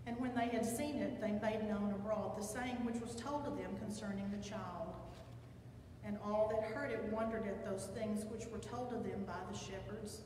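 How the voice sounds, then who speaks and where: An older woman pastor with a Southern American accent, reading scripture and preaching in a measured, reflective way. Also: medium pitch, fairly even intonation, darkish tone, recorded from a distance, slight background noise. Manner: measured, reflective delivery with slow emphatic pauses. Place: large church sanctuary with pipe organ, at a pulpit. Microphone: far camera, pulpit microphone. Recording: echoey church hall, heard from afar.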